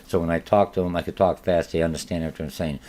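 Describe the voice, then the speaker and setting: A man's voice: low voice, fairly even intonation, fast, warm tone. A man with a Polish accent, whose first language is Polish, giving a recorded college marketing lecture, rambling with many fillers and restarts.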